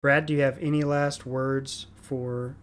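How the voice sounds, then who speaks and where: A man's voice: mid-range voice, level tone, unhurried. A man hosting a gaming podcast, teasing his co-hosts in casual chat. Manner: laughs often, teasing. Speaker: podcast host.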